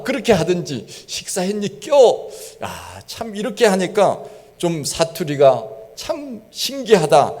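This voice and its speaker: A man's voice: medium pitch, a little mellow. Folksy male pastor in a reverberant church. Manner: folksy storytelling, mimics regional dialect phrases. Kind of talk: sermon. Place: church sanctuary. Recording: long church hall reverb.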